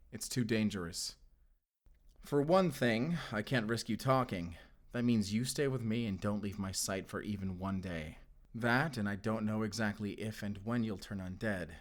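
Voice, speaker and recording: A man's voice: fairly low pitch, lightly acted. A man playing a guarded, protective vampire slayer in an audio roleplay, speaking frankly and sternly. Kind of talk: cinematic audio roleplay addressed to the listener.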